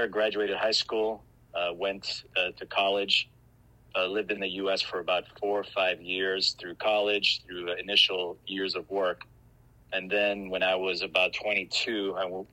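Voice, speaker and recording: Deep, bright-voiced middle-aged American man. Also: poor audio. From Greece. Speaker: podcast guest. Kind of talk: informal interview storytelling.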